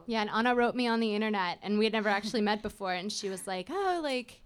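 A woman's voice: medium pitch, expressive, fast, clean tone. A woman in her twenties, a band drummer telling a story with dry humor. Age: 20s. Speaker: drummer, guest.

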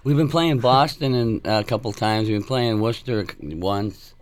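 A musician from Massachusetts, hoarse and raspy from laryngitis, talking in a relaxed, rambling way full of fillers during an interview. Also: male voice, mid-range voice, normal speed. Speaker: musician guest. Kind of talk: casual interview talk.

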